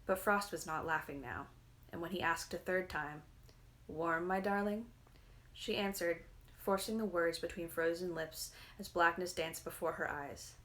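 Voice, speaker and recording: A woman's voice: on the low side, fairly bright tone. A woman narrating a fairy tale from a book as a dramatic, scripted reading. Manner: dramatic storytelling. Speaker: reader, narrator.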